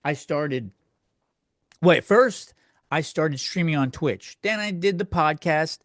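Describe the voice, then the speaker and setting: A man's voice: a little high, lively intonation, slow pace, fairly bright tone, no echo, studio quality. A man hosting a comedy podcast, ranting casually in a crude, self-deprecating, rambling conversational way. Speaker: podcast host. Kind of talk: casual comedic solo rant, answering listener questions.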